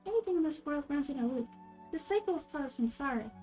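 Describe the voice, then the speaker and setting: A woman voicing a gentle, wise little girl deity from a video game, speaking in a calm, measured, explanatory way. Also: sits high, faint music behind. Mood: calm, knowing. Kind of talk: video game character dubbing.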